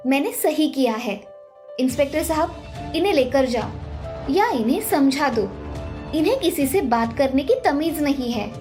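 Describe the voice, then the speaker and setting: A woman voicing a brash, short-tempered young wife in an animated moral story. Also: high-pitched, moderate pace, soft background music. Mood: indignant.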